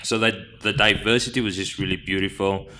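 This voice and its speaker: A young man from South Africa with a South African accent, chatting casually as an interview guest, relaxed and full of fillers. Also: lowish voice, brisk. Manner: relaxed, many fillers and restarts.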